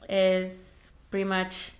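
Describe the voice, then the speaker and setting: A woman's voice: mid-range voice, completely flat delivery, takes it slowly, echoey room. A woman from El Salvador, a food truck founder explaining her food step by step in a casual interview, with many fillers.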